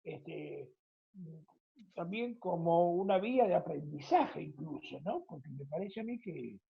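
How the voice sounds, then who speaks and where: Raspy Argentine male interviewer. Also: a little high, animated, a little slow. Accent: Argentine Spanish. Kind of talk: conversational interview questions. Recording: thin phone-like line.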